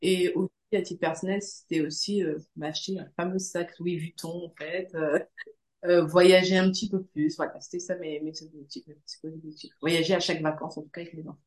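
Lower-voiced woman in her forties, roomy echo. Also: flat delivery, a little fast, a little mellow. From Guadeloupe. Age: 40s. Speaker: podcast guest, coaching client. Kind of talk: conversational personal testimony. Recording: roomy, echoing sound.

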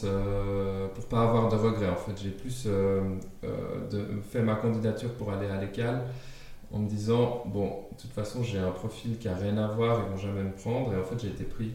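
Man, low voice, hesitant with fillers, casual home interview, very echoey room, mic set back. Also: mellow, distant mic. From Switzerland. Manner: hesitant, many fillers and restarts. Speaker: furniture designer interviewed at home. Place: his apartment.

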